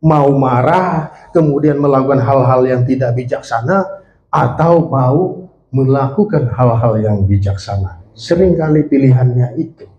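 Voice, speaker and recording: A man's voice: medium pitch, unhurried, mellow, dull audio. A man in his late forties preaching a sermon with an Indonesian accent, drawing in the congregation with questions and calls for amen. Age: 40s. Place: church. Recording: echoey church hall.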